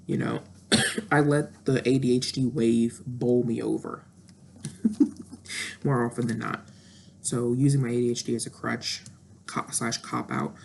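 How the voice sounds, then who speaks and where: A woman's voice: a bass voice, gravelly, a little mellow, a little hiss or hum. A woman in her thirties talking candidly and introspectively in a reflective personal monologue, with frequent fillers. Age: 30s. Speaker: vlogger.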